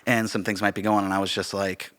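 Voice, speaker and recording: A man's voice: fairly low pitch, flat delivery, fast, a bit bright. A man chatting casually and enthusiastically on a podcast as a theater director and actor, with emphatic delivery.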